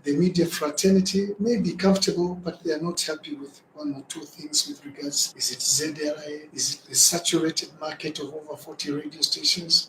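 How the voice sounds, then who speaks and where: A man's voice: fairly high pitch, a bit bright. A man with a Zambian accent speaks as a government spokesperson, addressing people in an unscripted official style. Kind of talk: public address to media. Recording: echoey room with background noise.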